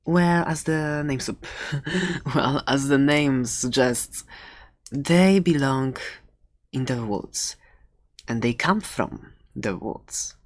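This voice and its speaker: A performer voicing a chatty, excitable dragon-egg shopkeeper and adventurer in a soft-spoken ASMR roleplay, playful and rambling with light sarcasm. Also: mid-range voice, takes it slowly, lightly acted. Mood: playful, sarcastic.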